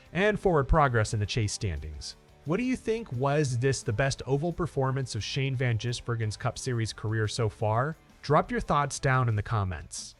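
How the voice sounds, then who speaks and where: Animated male sports news narrator. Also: mid-range voice. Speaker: narrator of a motorsport news channel. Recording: music under the voice. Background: background music.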